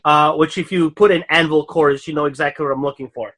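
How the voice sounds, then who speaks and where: A man's voice: on the high side, steady tone, fast, fairly bright tone. A man from Europe whose first language is not English, co-hosting a podcast and telling a rambling story with laughs along the way. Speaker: podcast co-host. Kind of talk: casual chat.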